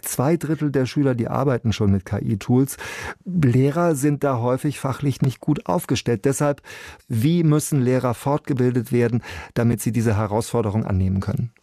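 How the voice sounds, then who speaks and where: A man hosting a radio news programme in a measured interviewing and announcing style. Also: medium pitch, dark tone, no echo, studio quality.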